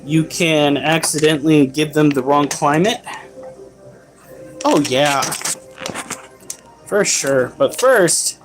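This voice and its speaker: Livestream host: man, slightly higher voice, unhurried, chatty explainer. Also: poor audio. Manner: chatty, explains tarantula care while answering chat. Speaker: livestream host and pet keeper. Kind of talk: casual livestream chat.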